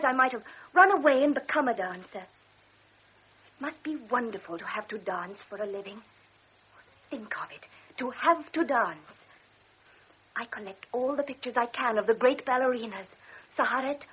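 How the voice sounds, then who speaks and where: High, breathy young baroness in a vintage radio drama, proud, fragile, imperious. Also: female voice. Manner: impulsive, swings between shy apology and sharp commands. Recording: dull old radio recording.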